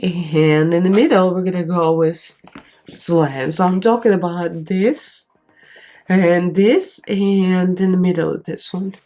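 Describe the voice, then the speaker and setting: A woman with a stuffed-up, nasal voice from a cold, pitched a little low, chatting loosely through a makeup tutorial with plenty of "you know" and "so". Soft music plays faintly behind her. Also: takes it slowly. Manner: chatty, rambling with fillers, talks while applying makeup. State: congested, nasal, strained voice from a cold. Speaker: beauty vlogger. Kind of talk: casual makeup tutorial chat.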